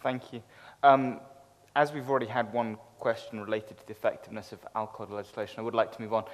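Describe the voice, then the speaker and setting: A man's voice: lowish voice, level tone, fast talker. A man chairing a public debate, polite and formal as he hands over the floor. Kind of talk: moderating a debate. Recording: long hall reverb.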